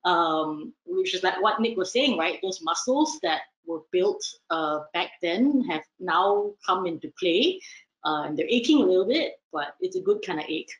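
Low-voiced woman, thoughtful on a call. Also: expressive, normal speed. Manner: thoughtful, laughs softly between phrases. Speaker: café owner guest. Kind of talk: video call interview. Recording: dull lo-fi call audio.

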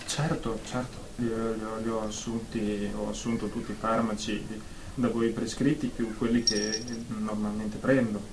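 A man's voice: fairly low pitch, little pitch movement, normal speed, natural voice. A man playing a timid, fretful therapy patient in a radio drama, stammering and repeating words hesitantly. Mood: anxious. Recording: echoey room, faint noise.

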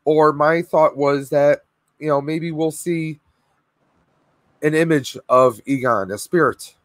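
Hoarse man, chatty stream host. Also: on the high side, takes it slowly, no echo. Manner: chatty, rambling with fillers. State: losing his voice, clearing throat. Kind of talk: casual live stream chat. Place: home room with collectibles, indoors. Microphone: webcam video call.

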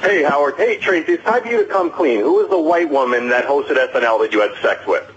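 Fast, high-voiced male phone caller. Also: animated. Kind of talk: insistent casual chat. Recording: dull phone line, faint noise.

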